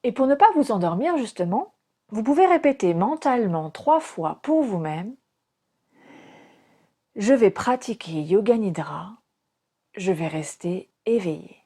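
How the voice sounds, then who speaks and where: A woman guides a relaxation meditation as a yoga nidra teacher, calm and soothing, speaking in short phrases with pauses. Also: medium pitch, breathy edge.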